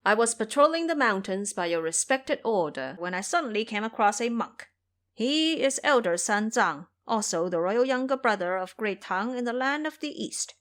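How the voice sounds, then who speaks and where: A woman's voice: medium pitch, fairly bright tone. Woman playing an eager fawning tiger demon officer. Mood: deferential. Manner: deferential, formal report to a superior.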